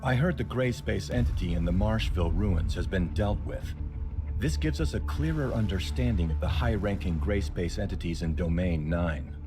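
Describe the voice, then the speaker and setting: An actor playing a grave, formal senior commander giving a measured briefing in an anime game. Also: male voice, deep voice, close to an everyday voice. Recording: background music under the voice.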